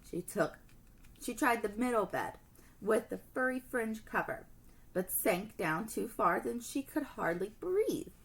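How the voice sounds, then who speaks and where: Female teacher storyteller for children's read-aloud: lively intonation, expressive, on the high side. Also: unhurried, rough recording.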